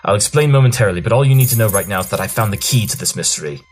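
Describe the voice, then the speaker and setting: Brilliant pony detective acted by a man, low-pitched, pompous, self-assured, audio drama, light music behind. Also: lively intonation, rapid-fire. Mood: excited. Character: brilliant, smug pony detective, Holmes type.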